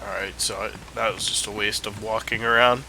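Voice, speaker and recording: A man's voice: fairly low pitch, steady tone, fairly quick, sharp tone, slight background noise. A man casually commenting while playing a video game, rambling and thinking aloud, with a stuffy nose. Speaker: gameplay streamer. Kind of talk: casual let's-play commentary.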